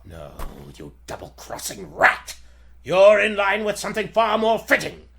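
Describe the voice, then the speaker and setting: A man voicing a gloating, cruel villain in a theatrical, sneering, drawn-out 1940s radio serial manner. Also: sits high, wildly swooping pitch, creaky, heavy background noise, heavily acted. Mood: gloating, menacing.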